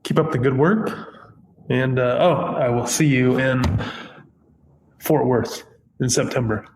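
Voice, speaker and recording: A man's voice: medium pitch, fairly even intonation, unhurried, a little mellow, slight background noise, rough recording. A man from Missouri leaving a grateful voicemail for a podcast host, hesitant with many fillers and choked up with emotion. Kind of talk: recorded voicemail message.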